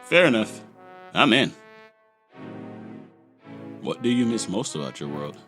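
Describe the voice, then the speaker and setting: A man plays a jaded little cartoon sidekick from a fairy world. His voice is low yet swings freely, full of dry sarcasm, with prominent music underneath. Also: very animated, a little slow. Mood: deadpan teasing. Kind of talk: comic fan-dub voice acting.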